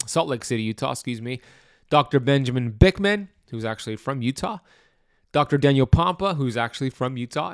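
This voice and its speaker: A man's voice: medium pitch, clean tone, no room sound, clean studio sound. A man hosting a health podcast, reading promotions and introducing his guest in an upbeat, enthusiastic way. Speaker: podcast host. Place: home in Miami.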